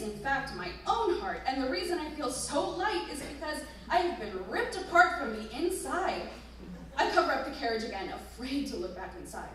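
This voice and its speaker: A woman performing a dramatic first-person story reading live, with expressive, deliberate phrasing. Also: a little high. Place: live stage reading in a museum hall. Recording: very echoey room, distant mic, noisy.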